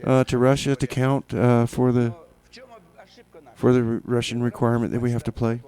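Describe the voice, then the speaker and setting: Halting, tongue-in-cheek male radio DJ. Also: medium pitch, little pitch movement, mellow. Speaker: radio show host and DJ. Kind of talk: casual rambling radio DJ patter.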